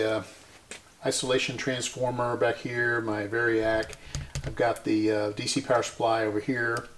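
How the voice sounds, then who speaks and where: A man gives a hands-on repair demonstration, explaining informally and methodically step by step in a creaky voice. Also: mid-range voice, a little hiss or hum. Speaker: host of a radio restoration series.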